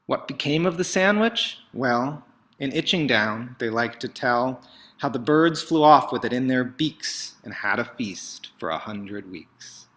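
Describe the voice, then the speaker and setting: Man, children's storyteller, bright forceful tone, mid-range pitch, theatrical emphasis, expressive read-aloud. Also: unhurried. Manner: theatrical, emphatic delivery with dramatic swells and hushes. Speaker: picture book read-aloud host.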